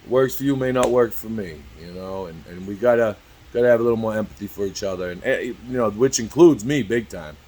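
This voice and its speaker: A man in his early fifties talking candidly to camera as a vlogger, halting and repeating "you know" constantly, swearing freely. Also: fairly low pitch, warm tone, a little hiss or hum. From Cambridge. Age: 50s. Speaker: YouTube vlogger. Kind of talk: rambling candid talk to camera.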